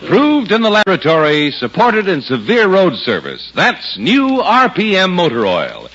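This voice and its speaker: A man's voice: high-pitched, huge pitch swings, unhurried, fairly bright tone. A male announcer reading 1950s radio advertising in an emphatic, polished manner. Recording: dull old radio recording.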